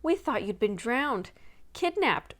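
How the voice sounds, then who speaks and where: A woman voicing an excitable, scolding teenage girl in a storybook read-aloud. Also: fairly high pitch, slow pace, pitched above the performer's own voice, full character voice. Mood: excited, scolding.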